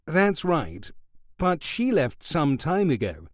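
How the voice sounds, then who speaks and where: A man's voice: a little high, huge pitch swings, slow pace, no echo, clean studio sound, pitched above the performer's own voice, full character voice. A man voicing a blunt, defensive man at a bar who speaks brusquely.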